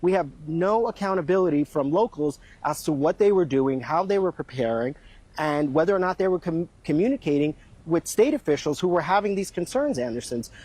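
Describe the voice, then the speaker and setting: A man's voice: a little high, expressive, a little fast, darkish tone, poor audio. A man reporting live as a news correspondent, speaking off the cuff and repeating phrases for emphasis.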